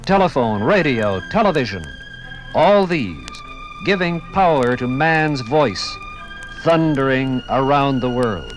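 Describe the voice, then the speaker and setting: With music playing behind him, a man narrates a 1950s radio documentary. His mid-pitched voice is formal and measured, with dramatic, oratorical phrasing. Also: animated, rough recording.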